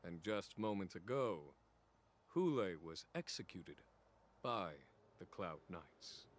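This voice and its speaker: Cool male authority in game, low, slow, formal, dryly triumphant. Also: animated. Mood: cold satisfaction. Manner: deliberate, dry, formal. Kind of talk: video game voice acting.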